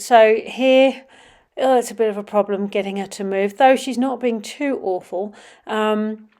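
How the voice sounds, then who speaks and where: A middle-aged woman with a slightly sniffly, runny nose, casually commenting over videos of her children riding, with frequent ums and some quiet murmured asides. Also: fairly high pitch. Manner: chatty, many ums, drops to quiet murmurs while watching. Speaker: vlogger.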